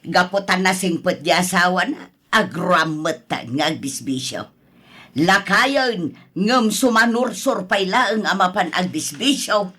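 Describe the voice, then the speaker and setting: An actress with an Ilocano accent playing a concerned sister-in-law in a radio drama, frank and sympathetic in a conversational way. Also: female voice, lowish voice, lively intonation, measured pace, sharp tone, heavily acted. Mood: frank, disapproving.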